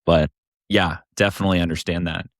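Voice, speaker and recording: Deep-voiced young male podcast host. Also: little pitch movement, a little fast, no room sound, studio quality. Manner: friendly, scripted-sounding intro then easy questions. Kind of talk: podcast interview.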